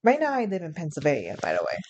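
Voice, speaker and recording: A woman's voice: deep voice, huge pitch swings, racing, a little mellow, dull audio, slight background noise. Young adult woman from New York, casual vlog storyteller, rambling and chuckling with lots of fillers. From New York, USA. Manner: rambling, chuckling, many fillers and self-corrections. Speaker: vlogger.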